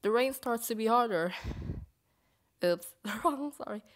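A woman's voice: fairly high pitch, expressive, measured pace. A woman reading a comic aloud as an amateur dubber, with informal, self-conscious, apologetic asides.

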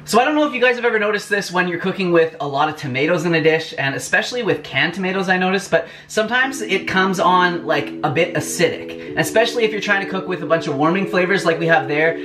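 A man's voice: high voice, lively intonation, fast talker, few pauses, bright, forceful tone, a little hiss or hum. Chatty young man in a home kitchen. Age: young adult. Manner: upbeat, chatty, addresses viewers as "you guys". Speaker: cooking channel host. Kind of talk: casual cooking vlog to camera. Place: small home kitchen indoors.

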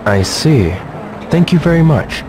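An actor playing an earnest, polite teenage martial artist in a video game, with a stiff, reserved manner. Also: male voice, on the high side, very animated, measured pace, noisy background. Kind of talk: English video game voice acting.

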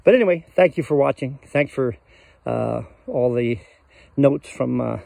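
A man's voice: medium pitch, expressive, measured pace, creaky, mellow, dry recording. An older man casually showing his cabin outdoors in the woods, rambling in a folksy way with many fillers, recorded on a handheld phone. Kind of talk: casual walkthrough chat.

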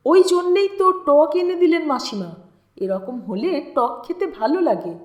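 A serious, knowing young man in an audio storybook , voiced by a woman reader, stating things gravely and plainly. The voice is high, with an echo around it. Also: expressive, measured pace. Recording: roomy, echoing sound.

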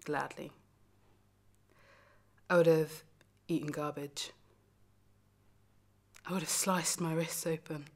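A woman performing a dramatic monologue as a lonely, heartbroken young lover, confessional and emphatic, moving between hushed and forceful delivery. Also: deep voice, steady tone, slow pace, long pauses, full character voice. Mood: wistful, intense. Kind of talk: dramatic stage monologue, self-taped acting showreel.

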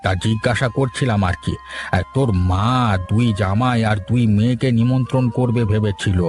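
A man's voice: mid-range voice, fairly even intonation, moderate pace, a little mellow, lightly acted. A man voicing a kindly, chatty older village father-in-law in a cartoon story, with a Bengali accent. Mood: homely, caring. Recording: music behind the voice.

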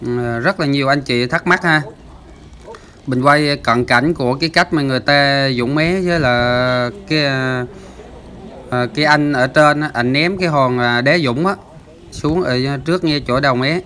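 A man's voice: mid-range voice, normal speed, sharp tone, a little hiss or hum. A man hosting a fishing channel, explaining the footage in a chatty voice-over with lots of filler sounds. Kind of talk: casual voice-over commentary.

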